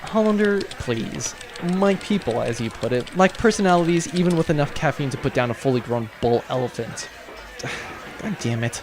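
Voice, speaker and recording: A man's voice: mid-range voice, animated, moderate pace, background noise, close to an everyday voice. A young man playing a sardonic, exasperated accountant on a pirate spaceship in a comedy audio drama, grumbling dryly and wordily. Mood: weary, exasperated. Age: young adult. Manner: dry, grumbling, wordy.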